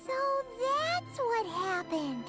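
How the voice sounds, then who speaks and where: An actress voicing a tiny, curious, childlike fairy companion with a squeaky voice in a video game. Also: female voice, big pitch swings, takes it slowly, full character voice. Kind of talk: scripted video game voice acting. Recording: music behind the voice.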